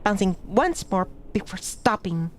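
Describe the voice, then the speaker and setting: A woman's voice: mid-range voice, big pitch swings, measured pace, a little hiss or hum. A woman streamer reading a visual novel aloud, with casual, chatty asides between passages. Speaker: VTuber streamer. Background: background music.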